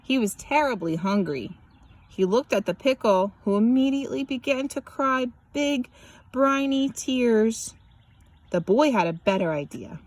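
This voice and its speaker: A woman reads a picture book aloud as scripted narration, animated and drawing out words for emphasis. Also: fairly high pitch, measured pace. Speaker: children's story reader.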